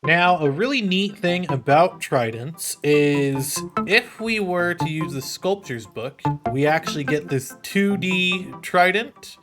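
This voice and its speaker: A man with a fairly high voice hosts a gaming video. He talks casually through what he is doing, playful and chatty, as if thinking aloud. Music plays clearly behind him. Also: lively intonation, slow pace, few pauses, a bit bright. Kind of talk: casual gameplay commentary over a block-building game.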